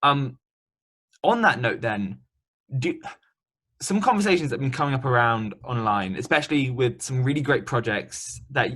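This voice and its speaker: A man's voice: medium pitch, expressive, normal speed, fairly bright tone. A man interviewing a guest in a remote chat, talking chattily and loosely with many ums and likes.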